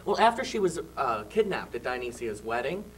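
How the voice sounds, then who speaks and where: A young man talking as a guest on a small local TV studio show, halting with many fillers and small laughs, into a handheld mic. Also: medium pitch, very animated, distant mic, soft background music, rough recording. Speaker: cast member guest. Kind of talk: casual studio chat. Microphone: handheld mic held by host.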